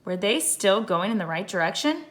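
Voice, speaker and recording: A woman's voice: medium pitch, very animated, normal speed, fairly bright tone. Woman reading a children's storybook. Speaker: teacher reading aloud.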